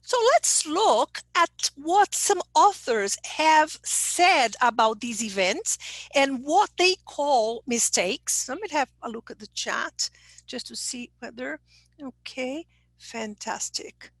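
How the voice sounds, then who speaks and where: Woman, high voice, teacher trainer, explanatory, dry sound. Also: animated, slow, fairly bright tone, no room sound, poor audio. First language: Portuguese. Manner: explanatory, checks in with okay. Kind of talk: online presentation.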